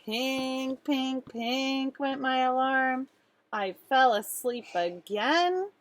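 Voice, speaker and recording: A woman's voice: high-pitched, drawn-out words. A woman teacher reading a children's storybook aloud expressively, speaking directly to young listeners.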